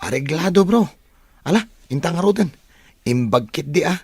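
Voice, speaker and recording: A man's voice: on the high side, animated, moderate pace, a little mellow, breathy edge, no room sound. A man acting a helpful young dormitory buddy in an Ilocano radio drama.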